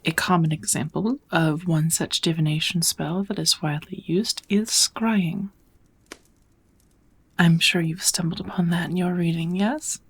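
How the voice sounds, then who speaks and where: A woman plays a kind witch mentoring an apprentice in an ASMR roleplay. Her voice is fairly low and very breathy, often dropping into a whisper. She teaches with gentle, measured patience and steady encouragement. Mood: gentle, instructive. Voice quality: soft, breathy. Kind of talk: ASMR roleplay, second-person scripted teaching.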